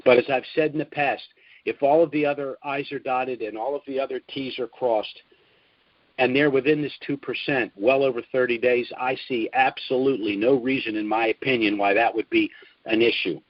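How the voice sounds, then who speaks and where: An older American man hosting a conference call as its spokesman, giving an informal business briefing in a measured way with emphasis on key points. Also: medium pitch, fairly even intonation, no room sound. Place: at home, recorded over a conference call. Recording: dull, dry phone conference audio.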